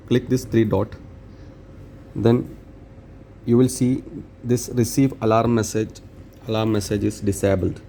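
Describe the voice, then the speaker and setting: A man presenting an unscripted step-by-step tech tutorial in halting, short phrases with non-native phrasing. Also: medium pitch, dark tone.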